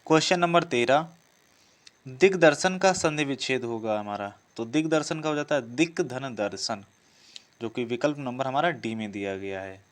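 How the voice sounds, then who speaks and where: A man speaks as a teacher taking students through practice questions. His voice is clear and smooth, at a middle pitch. He restates each question, then gives the answer in an instructional way. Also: moderate pace. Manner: repeats each question before giving the answer. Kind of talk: instructional lesson, working through quiz questions.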